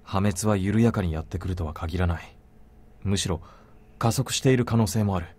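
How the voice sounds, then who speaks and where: A man's voice: sits low, rapid-fire, a little mellow. A man voicing a blunt, protective young man in a visual novel, terse and matter-of-fact. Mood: firm, serious. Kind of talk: Japanese visual novel voice acting.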